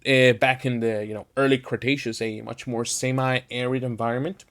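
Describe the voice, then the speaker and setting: A man's voice: mid-range voice, little pitch movement, normal speed, fairly bright tone. A man talks through a ranking list in a casual, unscripted way. He rambles with plenty of filler phrases, sounding enthusiastic about his subject. His voice sits in an ordinary middle range. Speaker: tier-list host.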